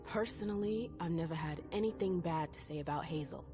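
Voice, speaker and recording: Gravelly, stubborn young-blood vampire. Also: female voice, sits low, a little fast. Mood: skeptical, teasing. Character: stubborn, wounded female vampire, probing her sire. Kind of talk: video game cutscene dialogue acting. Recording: game music underneath. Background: background music.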